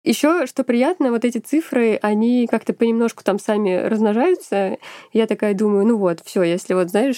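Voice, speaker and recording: Female podcast host, thirties, congested nasal tone, mellow and dry-recorded, chatty and wry. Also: mid-range voice, dry recording. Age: 30s. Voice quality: nasal, stuffy from a cold. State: congested with a lingering cold. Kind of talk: casual podcast chat.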